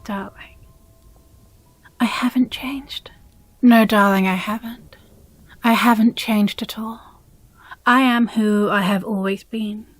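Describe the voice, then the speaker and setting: A woman playing a possessive, jealous demon girlfriend in an intimate audio roleplay, breathy and often whispering, with condescending sweetness. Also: mid-range voice, fairly even intonation, dry recording. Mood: condescending, cold. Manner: slow, deliberate, sighing, condescendingly sweet.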